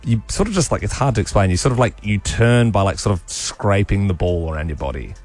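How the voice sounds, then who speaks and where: A man co-hosting a breakfast radio show, running a quiz with enthusiastic, quick banter. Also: lowish voice, lively intonation, a little mellow, faint music behind. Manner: enthusiastic, quick-talking quizmaster. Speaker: radio co-host.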